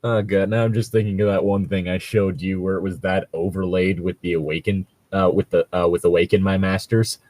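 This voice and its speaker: A man's voice: deep voice, level tone, a little fast, few pauses, a little mellow, dry recording. A man chatting casually as a co-host, rambling and jokey with a bit of swearing. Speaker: co-host reading and discussing tabletop gaming stories. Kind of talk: casual chat.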